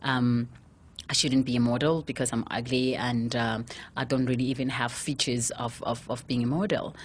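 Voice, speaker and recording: Deep-voiced woman recounting her life story. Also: fairly even intonation, normal speed. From South Africa. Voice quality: deep, low voice. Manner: candid storytelling, many "you know" fillers. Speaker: interviewee, fashion model. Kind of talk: casual interview.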